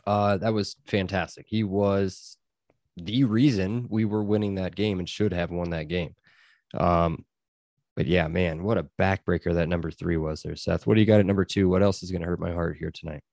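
A man hosting a casual sports podcast, moving the talk along and reacting with quick laughs and the odd curse. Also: low voice, fairly even intonation, creaky, a little mellow, no echo, clean studio sound. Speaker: podcast host.

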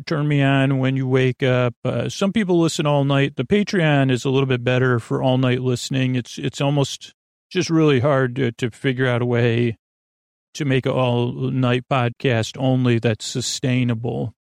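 Gravelly man rambling through a bedtime monologue. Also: mid-range voice, no room sound, clean studio sound. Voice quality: gravelly, creaky. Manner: meandering, halting, trailing off and circling back. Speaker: podcast host. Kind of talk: rambling bedtime monologue to help listeners sleep.

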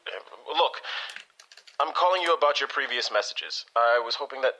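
A bright, tense young speedster intern in an audio drama. He is awkward and hesitant, tripping over his words as he talks. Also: medium pitch, animated, moderate pace, rough recording. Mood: hesitant, anxious. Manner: stammering, hesitant. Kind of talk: naturalistic audio drama phone dialogue.

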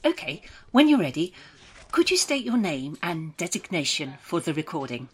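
A woman's voice: fairly low pitch, big pitch swings, normal speed, husky. Lilting, soothing woman official. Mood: brisk, reassuring. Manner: reassuring, procedural, brightly patronising. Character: soothing, probing woman official of a dystopian programme. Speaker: interviewer. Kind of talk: audio drama dialogue, clinical interview.

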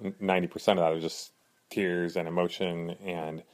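A man hosting his own podcast in earnest, rambling casual talk, a little hoarse from a cold. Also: low-pitched, little pitch movement, fairly quick. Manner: earnest, rambling, frequent fillers and restarts. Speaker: podcast host.

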